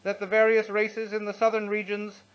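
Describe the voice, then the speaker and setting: A man's voice: sits high, completely flat delivery, moderate pace, clean tone. A man reads out a scripted wartime political address in a formal, declamatory manner. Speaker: reader of a translated wartime political speech. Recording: dull lo-fi sound.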